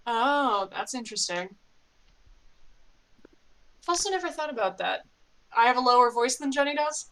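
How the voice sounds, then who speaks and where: A woman's voice: a little high, lively intonation, moderate pace, a bit bright. A woman player chatting casually and playfully with the group about her microphone. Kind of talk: casual chat between players.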